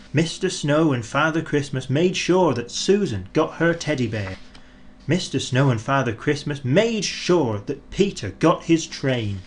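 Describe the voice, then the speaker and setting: A man narrating a children's storybook in an expressive, deliberate way, drawing out words for emphasis. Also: medium pitch. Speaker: audiobook narrator.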